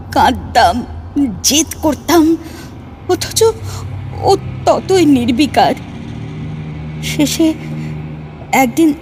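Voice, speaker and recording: Woman acting a slow, high-voiced vengeful female ghost. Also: darkish tone, a little hiss or hum, full character voice. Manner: slow, dramatic, aggrieved delivery with pauses. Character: vengeful ghost of a burned young woman, betrayed lover. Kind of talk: horror audio drama acting. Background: background music.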